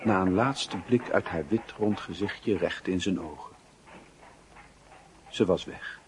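Very husky, low-voiced male narrator. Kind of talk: scripted literary radio drama narration.

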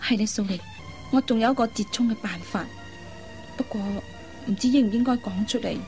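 A woman playing a kind, persuasive young elder sister in a Cantonese radio drama, coaxing and teasing in drawn-out phrases. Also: a little high, darkish tone, soft background music, lightly acted. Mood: gentle, persuasive.

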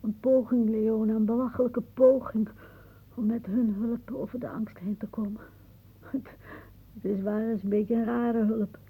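An actress playing a wry older aunt in a radio play, musing dramatically and haunted by death. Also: female voice, on the high side, level tone, measured pace, heavily acted. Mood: anxious. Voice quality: husky. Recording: muffled, phone-like old radio recording.

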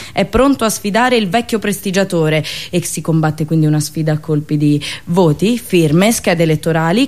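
A woman performing a satirical storytelling monologue, dramatic and ironic, with pauses and drawn-out words. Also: mid-range voice. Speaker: radio host and monologist.

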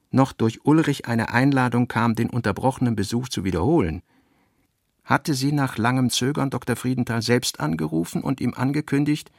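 Creaky-voiced man narrating literature. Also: medium pitch, dry recording, studio quality. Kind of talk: scripted literary narration for radio play.

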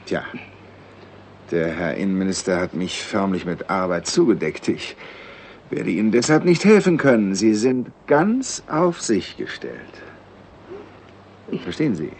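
A man's voice: low-pitched, very animated, a little slow, long pauses, darkish tone, heavily acted. A man playing an evasive senior state secretary in a 1960s radio drama, officious and insistent, sometimes hesitant as he starts. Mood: evasive, delegating. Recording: noisy old radio recording.

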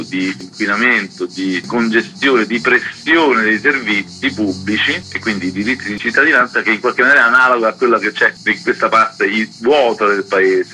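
Low, bright-voiced man explaining thoughtfully. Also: low-quality recording. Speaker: book author being interviewed. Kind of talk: explanatory radio interview.

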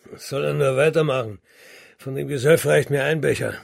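Gravelly, gruff soldier. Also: male voice, mid-range voice, brisk. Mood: grumbling. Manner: blunt, grumbling. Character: gruff, hot-blooded male soldier, coarse and sceptical. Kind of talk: German radio play acting.

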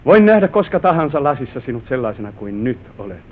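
Young devoted husband, played by a male actor for a vintage radio play: expressive, swooping delivery, courtly affection, thin sound with background noise. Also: lightly acted. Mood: gallant, playful. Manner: tender, gallant, expressive stage delivery. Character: affectionate newlywed husband proudly showing his bride the family manor. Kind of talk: old Finnish radio play acting. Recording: narrow-band, hissy vintage radio recording with rumble.

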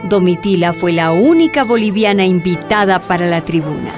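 A woman's voice: fairly low pitch, animated, normal speed, faint music behind. A woman reading scripted narration for a radio drama.

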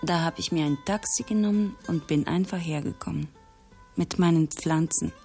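A subdued woman , played by an actress, in a radio drama. She speaks in a dark, soft-edged timbre a little on the low side, in short, intimate phrases. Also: normal speed, faint music behind, lightly acted. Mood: subdued, grateful.

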